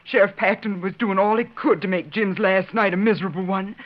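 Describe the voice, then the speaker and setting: A woman's voice: medium pitch, steady tone, fairly quick, close to an everyday voice. A woman as a spirited frontier woman, muffled radio. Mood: indignant. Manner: emotional, dramatic. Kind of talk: old-time western radio melodrama. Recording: muffled vintage radio recording.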